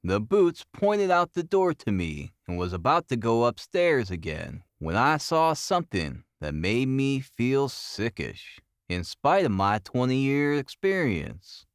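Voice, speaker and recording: A man playing an earnest Victorian police detective, animated pitch. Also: smooth, no echo, studio quality. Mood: grave, tense. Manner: formal, deliberate storytelling. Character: earnest, methodical male Victorian police detective giving his report.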